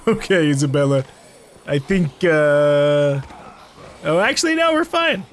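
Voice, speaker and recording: A man's voice: fairly high pitch, animated, unhurried, slight background noise. A man giving casual let's play commentary in a playful way, calling out to the game's characters. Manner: playful, calls out to game characters. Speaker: gaming YouTuber, let's play host.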